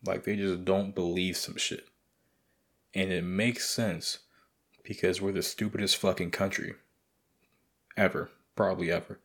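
Young man, raspy gravelly voice, very low, rambling profane podcast talk. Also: level tone, a little slow, pauses often, fairly bright tone. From United States. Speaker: podcast host. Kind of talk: casual late-night monologue.

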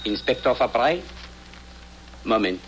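An actor playing a polite, deliberate European police inspector with formal, precise speech, in old-time radio drama style. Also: male voice, mid-range voice, lively intonation, natural voice. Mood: formal, careful. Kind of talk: 1940s-50s radio drama acting. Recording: slightly noisy old radio recording.

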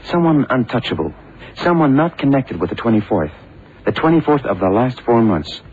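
An actor playing an earnest, analytical investigator's sidekick in a radio crime drama, with hesitant, dramatic emphasis. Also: male voice, mid-range voice, very animated, normal speed, a little mellow, breathy edge. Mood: dawning realisation. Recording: muffled, noisy vintage radio recording.

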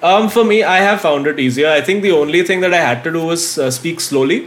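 A man's voice: a little high, brisk. A man with an Indian English accent, a stand-up comedian chatting casually on a podcast and mixing in Hindi as he tells stories from touring. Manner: relaxed storytelling, switching between English and Hindi. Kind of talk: casual chat.

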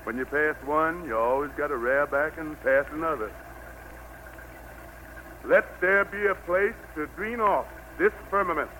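A man's voice: on the high side, unhurried, sharp tone. An actor playing God as a genial, fatherly country patriarch with a Southern US accent and a folksy, commanding manner in an old radio play. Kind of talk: 1940s radio play acting. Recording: noisy old radio recording.

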